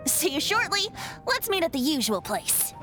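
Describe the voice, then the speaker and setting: A teasing, carefree young bard who is secretly a wind god. His light, somewhat high voice swings up and down in a breezy, playful way. Game music is clearly heard under the lines. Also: sing-song, normal speed. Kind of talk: video game voice acting. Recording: game score under dialogue.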